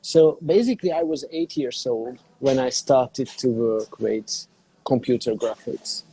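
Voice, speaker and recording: Middle-aged Hungarian man, unhurried, dark mellow timbre. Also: medium pitch, breathy edge, rough recording. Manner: unhurried, searching for words in a second language. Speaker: interview guest, digital artist. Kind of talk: casual interview on a call. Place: cafe in a small town.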